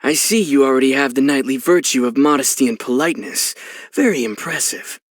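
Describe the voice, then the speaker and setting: Man playing calm young hero, close dry sound. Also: mid-range voice, brisk, dry recording, lightly acted. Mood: teasing. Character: young male traveler hero, calm, wistful, gently teasing. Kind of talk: video game voice acting.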